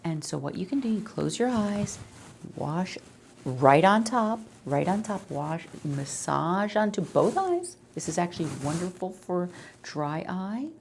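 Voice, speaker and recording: A woman speaking softly in an ASMR medical roleplay, explaining things in a reassuring, conversational way as an eye doctor. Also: lowish voice, sing-song, unhurried, gravelly, a little hiss or hum. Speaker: ASMR creator role-playing an eye doctor.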